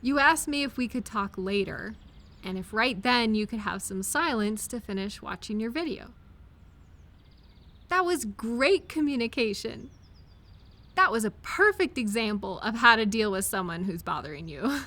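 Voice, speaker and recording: A woman playing an affectionate, reassuring friend or partner in an intimate comfort audio roleplay, speaking gently and directly to the listener. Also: a little high, animated, moderate pace. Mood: encouraging, tender.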